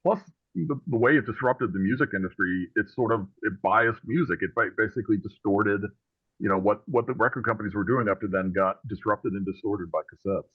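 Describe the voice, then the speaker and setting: A man's voice: lowish voice, a little fast, breathy edge, no echo. A man being interviewed as a guest author, chatting casually and explaining things in a conversational way. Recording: phone-like thin call audio.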